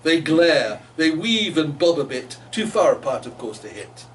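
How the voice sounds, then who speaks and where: Animated man reading poetry, noisy distant mic. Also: on the high side, normal speed. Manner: theatrical, playful delivery. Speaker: poet reading own work. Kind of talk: live poetry reading with chatty asides. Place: gallery reading in front of listeners. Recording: noisy room, distant microphone.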